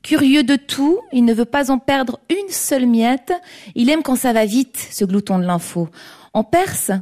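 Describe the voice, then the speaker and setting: A woman hosting a studio talk and improv show, introducing performers and interviewing a guest with a lively presenter manner. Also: high-pitched, a little slow. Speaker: host.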